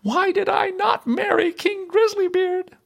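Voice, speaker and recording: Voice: very high-pitched, expressive, measured pace, vocal fry, pitched above the performer's own voice, heavily acted. A storyteller voicing a spiteful, haughty young princess with exaggerated, theatrical exclamations for a fairy tale. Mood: wailing, regretful.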